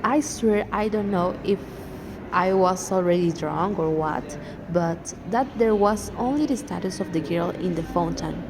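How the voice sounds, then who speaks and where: A young woman from Mexico speaking English with a Mexican Spanish accent, chatting excitedly and teasing her co-host on a podcast. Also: lowish voice, measured pace, noisy background. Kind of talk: casual storytelling chat.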